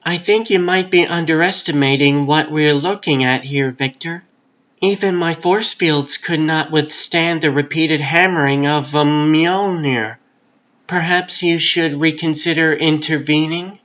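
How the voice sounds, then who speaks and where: A woman playing a devoted, cautious queen in a comic book dub, speaking in a soft, measured, intimate way. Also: low voice, dull audio. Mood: concerned.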